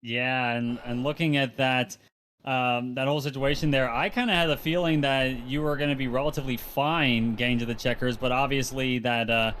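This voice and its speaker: A man's voice: medium pitch, steady tone, normal speed, fairly bright tone, a little hiss or hum. A man co-commentating a race broadcast and asking long, rambling interview questions full of fillers. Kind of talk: sim racing broadcast commentary.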